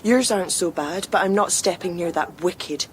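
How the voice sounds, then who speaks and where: A woman's voice: moderate pace, natural voice. An actress playing a defiant Victorian woman in a gothic radio drama, biting and sarcastic towards a villain. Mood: scornful. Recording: faint rumbling background noise.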